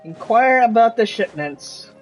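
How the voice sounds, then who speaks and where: A male game streamer comments casually in a high-for-a-man, animated voice. He reads choices aloud, with faint game music in the background. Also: measured pace.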